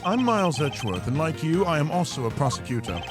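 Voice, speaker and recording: A man's voice: fairly high pitch, sing-song, over music, pitched below the performer's own voice. A man voicing a formal prosecutor with varied intonation. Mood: polite, firm. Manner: measured, formal, precise. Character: composed, formal, serious male prosecutor. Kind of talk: video game dub played live.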